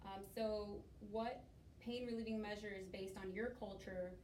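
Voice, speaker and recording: A woman's voice: mid-range voice, fairly even intonation, measured pace, a little mellow. A young woman from Miami leads a class presentation conversation, hesitant with many fillers. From Miami, United States. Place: skills lab room. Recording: roomy echo, mic at a distance, faint noise.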